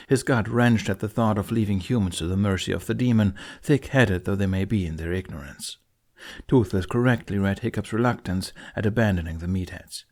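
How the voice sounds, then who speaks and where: A man's voice: on the low side, darkish tone. Man dramatically narrating a fantasy audiobook.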